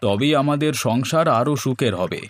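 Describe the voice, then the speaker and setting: A man's voice: mid-range voice, lively intonation, normal speed, a little hiss or hum, close to an everyday voice. A man playing a hardworking, friendly village fisherman and father in a cartoon story. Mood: agreeable, earnest. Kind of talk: Bengali cartoon story dialogue.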